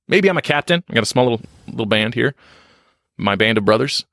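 A man's voice: medium pitch, expressive, fast, vocal fry, a bit bright, no echo, studio quality. A man talking candidly in a podcast interview, reflective and self-deprecating, often restarting his sentences. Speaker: podcast guest on leadership and success.

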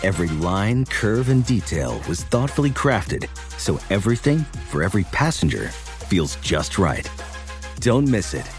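A man with a lower voice reads a polished promo, his pitch rising and falling expressively. A faint music bed runs under him. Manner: smooth, polished promo delivery. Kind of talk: scripted commercial voiceover.

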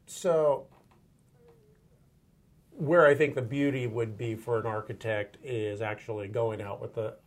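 A man's voice: mid-range voice, steady tone, measured pace, long pauses. A man hosting an interview, asking curious questions and thinking aloud with fillers. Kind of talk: conversational interview.

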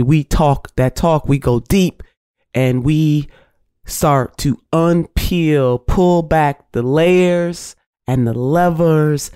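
A woman's voice: low voice, mellow, dry recording, clean studio sound. A woman hosting a podcast interview, sounding nasal as she gets over a cold, talking emphatically with preacherly catchphrases. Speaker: podcast host.

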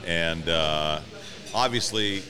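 A middle-aged man with an extremely low voice tells stories as an interview guest. His manner is easygoing and wry, wandering a little as he casually explains things. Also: slow, music in the background. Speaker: stadium public address announcer, interview guest. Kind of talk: casual conversational storytelling.

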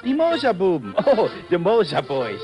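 Radio villain: courteous, stalling German innkeeper, highish animated voice, music in background. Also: male voice. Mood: smoothly evasive. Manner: polite, unhurried, evasive. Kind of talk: 1950s radio drama acting.

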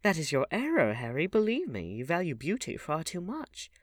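A man's voice: a little high, wildly swooping pitch, normal speed, dry recording, studio quality, voice pushed up. A man puts on a coy, witty storybook duchess. The voice is lifted above the reader's own, refined and full of lilting swings. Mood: playful challenge. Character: pretty, teasing, quick-witted English duchess.

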